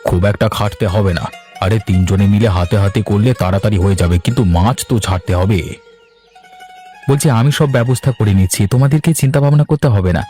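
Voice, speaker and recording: A man's voice: on the low side, fast, warm tone, natural voice. A man playing an enterprising, eager younger farmer brother who is respectful to his elder, in a cartoon. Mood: persuasive. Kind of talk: cartoon dialogue. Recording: music under the voice. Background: instrumental music.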